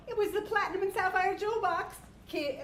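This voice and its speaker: A woman's voice: very high voice, normal speed, a bit bright, roomy sound, slight background noise. A woman reading narration in a dramatized literary storytelling performance. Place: live staged reading in a room.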